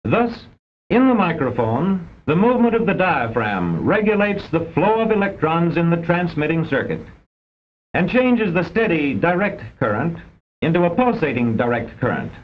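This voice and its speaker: Man, American accent, formal educational film narration, very lively intonation, precise measured delivery, muffled old soundtrack. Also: on the high side. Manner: measured, didactic, precise enunciation. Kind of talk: formal scripted narration of a vintage instructional film. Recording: dull, band-limited old film soundtrack.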